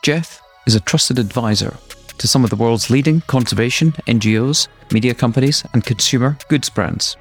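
A man hosting a podcast, who reads his intro smoothly and chats with small restarts. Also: medium pitch, normal speed, faint music behind. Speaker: podcast host. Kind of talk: interview podcast with scripted intro. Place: home in Brooklyn, remote call.

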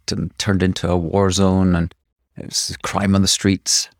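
A man hosting a podcast, reading a scripted guest introduction, then chatting in a measured way. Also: low voice, level tone, no room sound, studio quality. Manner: measured, reads a list-like introduction. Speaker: podcast host. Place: Austin, Texas.

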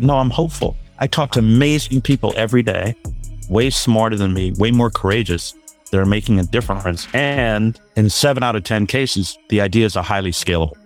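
Upbeat low-voiced man from Brooklyn. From born in Barbados, raised in Brooklyn. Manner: upbeat, earnest, repeats words as he starts. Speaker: guest, sustainability advisor. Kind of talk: casual interview talk. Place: Williamsburg, Brooklyn, remote call.

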